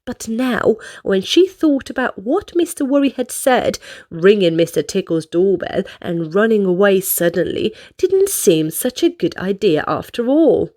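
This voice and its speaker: A woman reading a picture book aloud to young children in an animated, expressive storytelling style. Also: medium pitch, a little slow. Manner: animated, addresses young listeners directly.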